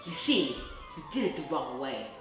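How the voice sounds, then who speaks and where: A bossy storybook handyman, acted out by a woman, blundering yet sure of himself. The delivery is emphatic, with lively swings of pitch. It sits in a very echoey room over music. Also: moderate pace. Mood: instructing.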